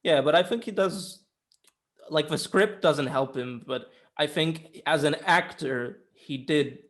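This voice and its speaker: A man's voice: fairly high pitch, takes it slowly, a bit bright. A man co-hosting a casual review discussion, speaking in an informal, opinionated and emphatic way. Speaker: film review show co-host.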